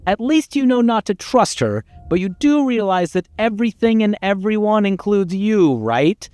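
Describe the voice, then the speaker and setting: A man's voice: sits high, expressive, moderate pace, a little mellow, smooth, no room sound. A man playing a condescending, exasperated narrator in a video game, articulate and dryly sardonic. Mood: urging. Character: exasperated, pompous male narrator voice guiding the hero.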